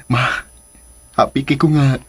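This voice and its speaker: An actor playing a hopeful, lovestruck adult son in a Filipino radio drama, eager, with a Filipino accent. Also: male voice, medium pitch, steady tone, measured pace, a little mellow, slightly rough, dry recording. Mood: happy, hopeful.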